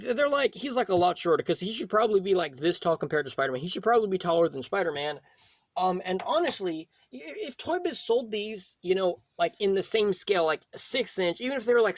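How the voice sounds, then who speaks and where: A man hosting a casual, unscripted toy review, talking in a rambling, halting way and often rephrasing himself. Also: sits high, no room sound. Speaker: toy reviewer, video host.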